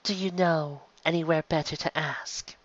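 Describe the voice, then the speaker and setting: Man voicing a hushed, mysterious woman interrogator. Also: fairly high pitch, steady tone, no room sound, muffled recording, voice pushed up, natural voice. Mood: softly teasing. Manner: hushed, slow, probing. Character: mysterious woman interrogator, soft, teasing and insistent.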